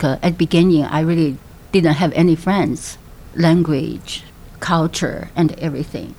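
A woman in her fifties from China, speaking English with a Chinese accent as a second language, telling her story in a halting way with many fillers. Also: low voice, a little mellow. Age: 50s. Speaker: interviewee, mother. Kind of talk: personal storytelling.

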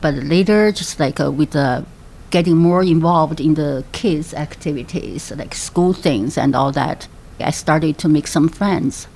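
A woman in her fifties from China, speaking English with a Chinese accent as a second language, telling her story in a halting way with many fillers. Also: low-pitched, creaky, a little mellow, slight background noise. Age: 50s. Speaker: interviewee, mother. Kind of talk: personal storytelling.

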